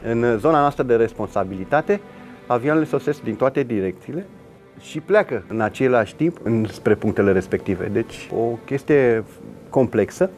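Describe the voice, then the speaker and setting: A man's voice: medium pitch, expressive, a little mellow. A Romanian man, an air traffic controller, talking in a documentary interview. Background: faint music.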